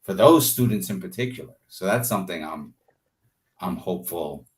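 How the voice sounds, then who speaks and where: Low-pitched man chatting as an interview guest. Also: lively intonation, normal speed. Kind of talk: casual conversational interview.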